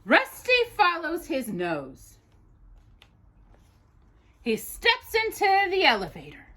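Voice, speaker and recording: A woman reading a children's picture book aloud as a storytime host, with expressive, deliberate delivery for a young child. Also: very high-pitched.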